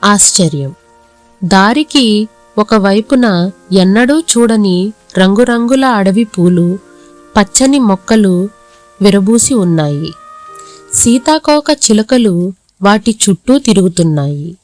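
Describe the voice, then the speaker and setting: A woman's voice: mid-range voice, animated, slow pace, long pauses, darkish tone, faint music behind. A woman reading scripted narration for a moral story as its storyteller.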